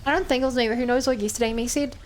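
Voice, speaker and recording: A woman's voice: a little high, steady tone, rapid-fire, slight background noise. A woman with a New Zealand accent streaming a game, chatting casually, thinking aloud and answering her chat. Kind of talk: casual gameplay commentary.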